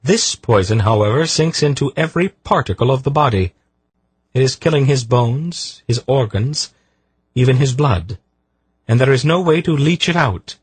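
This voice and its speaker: Grave commanding sorceress, voiced by a man, firm and precise, raised above his voice, very husky, dry. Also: medium pitch, expressive, moderate pace, no room sound, voice pushed up. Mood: explaining.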